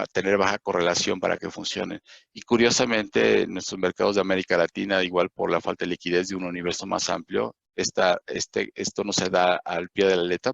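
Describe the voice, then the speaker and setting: Mexican male presenter, low gravelly voice, hesitant with fillers, dry lo-fi webinar audio. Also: a bit bright, dry recording. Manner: halting, many fillers and restarts. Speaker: webinar presenter on investment indices. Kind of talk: live webinar presentation over slides. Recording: dull, lo-fi sound.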